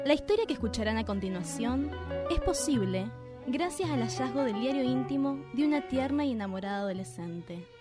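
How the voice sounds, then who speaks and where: A woman with an Argentine accent narrating a radio drama in a measured way. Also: a little high. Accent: Argentine Spanish. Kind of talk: scripted narration.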